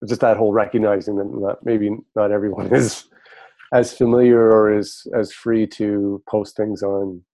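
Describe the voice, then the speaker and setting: Male call participant; low, dry, monotone, measured and thoughtful. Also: dry recording. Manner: thoughtful, measured, hedging with ums. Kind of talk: casual group discussion.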